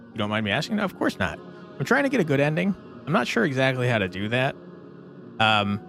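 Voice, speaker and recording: A man's voice: medium pitch, fairly bright tone. A man streaming a game, giving casual live commentary and chatting informally with his viewers. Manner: informal, reads out chat and answers it. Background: game music.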